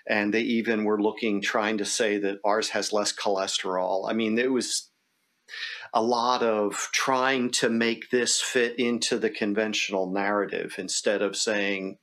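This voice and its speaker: A man speaking conversationally and reflectively, thinking aloud in a halting way as he puts questions to a guest. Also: lowish voice, steady tone, fairly bright tone.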